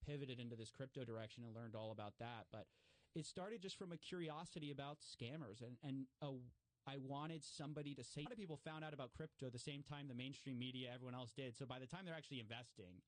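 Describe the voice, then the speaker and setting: A man's voice: medium pitch, fast, dry recording. A man talking casually as a podcast guest, explaining and telling stories in a conversational way. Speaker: podcast guest, investigative journalist.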